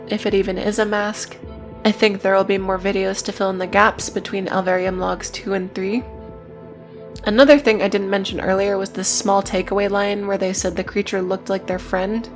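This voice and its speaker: A woman narrating a scripted video essay in a matter-of-fact way, with casual, dryly irreverent asides. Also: mid-range voice. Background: music.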